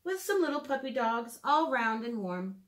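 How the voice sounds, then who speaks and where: A woman in her thirties reading aloud and leading a drawing lesson for preschoolers in a clear, simple storytelling manner, recorded in a room at home. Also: fairly high pitch, sing-song, normal speed, fairly bright tone. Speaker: children's educator reading and drawing. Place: small room at home, indoors.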